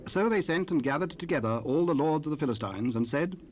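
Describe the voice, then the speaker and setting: A man's voice: a little high, animated, quick pace. A man narrating scripture in archaic English for a dramatized Bible recording. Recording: noisy raw cassette rip.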